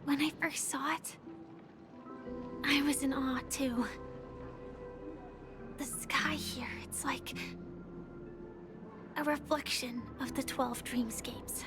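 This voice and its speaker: High-voiced young guide. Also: female voice, steady tone, takes it slowly, long pauses. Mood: gentle, informative. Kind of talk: scripted game dialogue. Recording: game dialogue over music.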